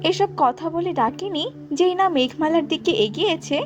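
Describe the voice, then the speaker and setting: A woman's voice: very high voice, normal speed, dull audio, soft background music. A woman narrating a scripted children's fairy tale as storyteller.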